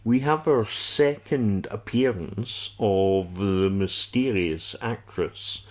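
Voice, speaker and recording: A man co-hosting a podcast, talking casually about a TV show in a halting, rambling way. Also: lowish voice, a little mellow. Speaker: podcast co-host.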